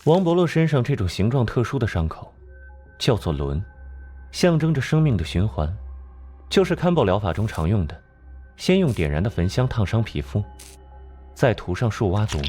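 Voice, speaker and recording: A man voicing a sleepy, flippant young occult expert with a drawling, nonchalant delivery in an animated crime drama. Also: lowish voice, normal speed, pauses often, soft background music. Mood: smug, explanatory.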